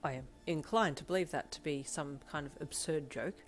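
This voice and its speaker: An earnest, devoted young man in an audio story , acted by a woman, speaking in a low voice. His manner is formal, precise and polite, over soft music. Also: moderate pace, lightly acted.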